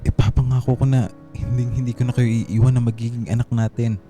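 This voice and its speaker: A mid-pitched male voice of an actor as a repentant, anxious husband. He speaks earnestly in radio drama style, with clear music behind him. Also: level tone, brisk, close to an everyday voice. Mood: remorseful. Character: remorseful young husband, earnest and anxious father-to-be.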